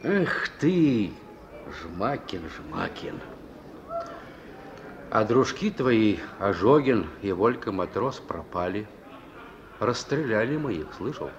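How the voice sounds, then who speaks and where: An actor playing a weary, stern but fatherly police chief who is short of breath and speaks slowly, with sighs, in a Soviet radio drama. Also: lowish voice, big pitch swings, background noise, full character voice. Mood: sorrowful, reproachful. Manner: slow, sighing, reproachful. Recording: dull old radio recording, music behind.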